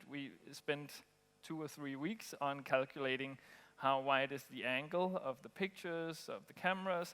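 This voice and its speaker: A man from Denmark, giving a relaxed conference talk with frequent ums and pauses. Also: mid-range voice, clean tone. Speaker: conference speaker. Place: conference hall. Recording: long hall reverb.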